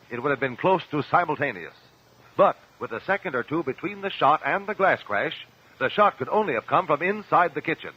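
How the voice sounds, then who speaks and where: An actor playing a sharp, persistent defense lawyer in a vintage American radio drama, speaking formally and articulately. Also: male voice, on the high side, slightly rough. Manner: articulate, pressing, courtroom formality. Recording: dull vintage radio broadcast.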